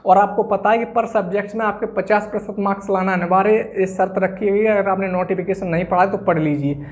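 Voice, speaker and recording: A man's voice: high-pitched, fairly even intonation, fast talker. A man giving an informal, instructional exam-coaching talk, emphatically repeating key phrases for stress.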